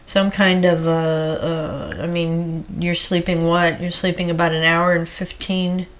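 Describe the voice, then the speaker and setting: Female podcast host, a bit low-pitched, stuffy from a cold, casual and rambling with plenty of ums and uhs. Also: level tone, measured pace. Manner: chatty, rambling with frequent fillers. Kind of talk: casual solo podcast chat.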